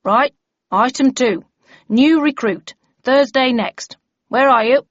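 An officious, stern male bellman, acted by a woman narrator declaims to his meeting in a storybook reading. The delivery is brisk and chairmanlike, recorded very dry with a slightly dull sound. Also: sits high, dry recording, voice pushed up. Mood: brisk, calling out.

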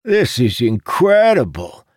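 A man voicing an excitable, theatrical necromancer in an audiobook, blurting and shouting his proclamations. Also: medium pitch, takes it slowly, no room sound, studio quality, voice pushed up, full character voice. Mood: incredulous. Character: excitable, theatrical male necromancer, loud and incredulous.

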